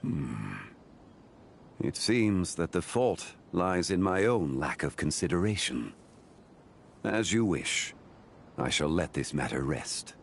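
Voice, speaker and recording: A man playing a grave, composed ancient god in a fantasy game, speaking in a measured, solemn and formal way. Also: deep voice, sing-song, gravelly, slight background noise, heavily acted. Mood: calm, courteous. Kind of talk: fantasy game cutscene acting. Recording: music behind the voice.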